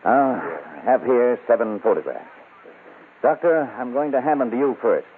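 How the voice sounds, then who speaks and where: An actor playing a brisk, methodical police captain with a businesslike, authoritative manner in a 1940s radio crime drama. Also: male voice, medium pitch, very animated, natural voice. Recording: scratchy, tinny old-time radio recording.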